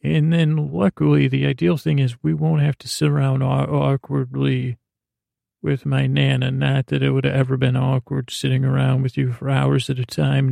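Creaky-voiced man, lulling bedtime rambler. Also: mid-range voice, dark tone, no room sound. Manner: lulling, meandering, with long pauses and halting trail-offs. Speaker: podcast host. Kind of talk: rambling bedtime monologue meant to lull listeners to sleep.

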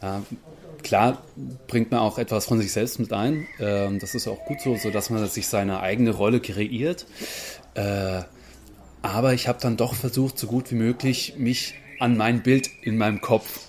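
A man's voice: fairly low pitch, fairly even intonation, a little slow, darkish tone, a little hiss or hum. A man, a stage actor, talking casually as a guest in an interview.

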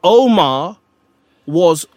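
A man's voice: high-pitched, animated, extremely slow, a little mellow, no echo. A man co-hosting a casual talk, reflective and repeating phrases as he thinks. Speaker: podcast co-host. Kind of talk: casual chat.

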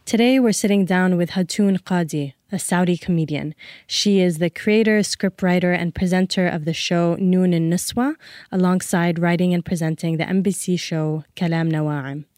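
A woman hosting a podcast, reading a scripted introduction in a measured way into a close studio mic. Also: on the low side, darkish tone, no echo. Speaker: podcast host.

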